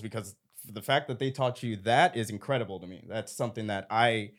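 A man in his twenties from San Diego, co-hosting a podcast discussion in a measured, reflective way. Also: fairly low pitch. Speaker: podcast co-host. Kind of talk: casual discussion.

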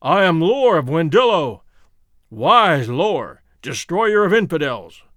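A man's voice: fairly high pitch, sing-song, slow pace, a bit bright, dry recording, studio quality, heavily acted. A man voicing proud, bloodthirsty ancient warrior spirits in an audiobook, declaiming grandly like an incantation. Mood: proud, boastful.

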